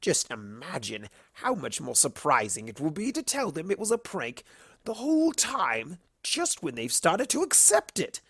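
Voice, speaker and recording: A woman's voice: deep voice, huge pitch swings, normal speed, fairly bright tone, breathy edge, dry recording, studio quality, pitched above the performer's own voice, full character voice. A woman voicing a mischievous, boastful male cartoon chaos creature in a smug, cheeky, theatrical manner. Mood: boastful, scheming. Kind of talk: fan fiction storytelling.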